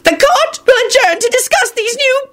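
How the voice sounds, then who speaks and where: Shrill, venomous female official , as a woman reader puts her on; very high and lively. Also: moderate pace, exaggerated voice. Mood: shrill, spiteful. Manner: shrieking, spiteful.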